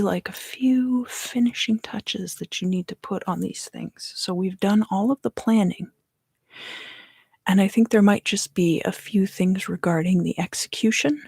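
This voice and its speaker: A woman in her thirties gives an ASMR tarot reading in hushed, unhurried, deliberate phrases, close to the microphone. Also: medium pitch, husky, no echo. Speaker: tarot reader and ASMR host. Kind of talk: ASMR tarot reading, whispered and softly spoken.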